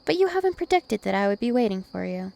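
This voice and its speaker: A woman's voice: medium pitch, very animated, fast, vocal fry, darkish tone. A woman voicing a dominant, taunting, ancient vampire princess in an ASMR roleplay, with haughty, teasing menace. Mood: scornful. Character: two-thousand-year-old vampire princess, female, dominant, taunting, imperious.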